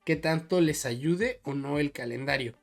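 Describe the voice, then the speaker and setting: A man's voice: a little high, unhurried. A man co-hosting a sports podcast, discussing football casually and circling back over his words as he reasons.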